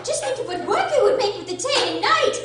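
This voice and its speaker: A woman playing a polite, curious and earnest little girl with a prim, expressive delivery in a storybook radio drama. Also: very high voice, a little fast, loud music behind the voice. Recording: very echoey room, distant mic.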